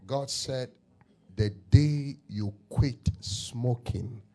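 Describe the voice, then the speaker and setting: A Ghanaian-accented man preaching as a prophet in a church, his voice sitting at an ordinary middle pitch. He takes his time, stretching his declarations out unhurriedly before they rise into loud shouts. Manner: slow drawn-out declarations that swell into loud shouts. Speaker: pastor, self-styled prophet. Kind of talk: prophetic preaching and praying over people. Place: church service.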